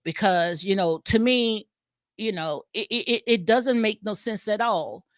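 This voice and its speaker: A woman's voice: medium pitch, unhurried, no room sound, clean studio sound. Rambling Southern woman streaming commentary. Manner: rambling, repetitive, frequent fillers and restarts. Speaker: commentary vlogger. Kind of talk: casual reality-TV commentary livestream.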